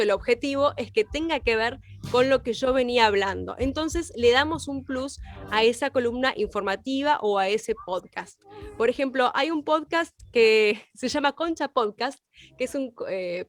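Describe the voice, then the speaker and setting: A woman's voice: sits high, dry recording. A woman explaining as a teacher in an informal open class, with examples and rhetorical questions. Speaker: radio workshop teacher.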